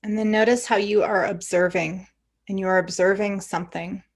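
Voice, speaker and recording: A woman leading a guided meditation as a teacher, giving gentle, encouraging instructions with pauses. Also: medium pitch, steady tone.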